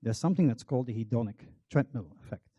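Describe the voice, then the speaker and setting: A man's voice: mid-range voice, fast talker, dark tone, no room sound, clean studio sound. A middle-aged Belgian man giving a public talk, telling a wry, self-deprecating personal story. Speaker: marketing researcher on a panel.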